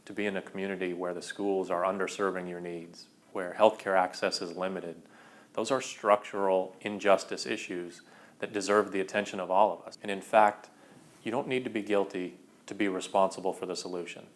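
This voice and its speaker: A man's voice: deep voice, steady tone, fairly quick. A composed, articulate man giving an explanatory answer in a documentary interview.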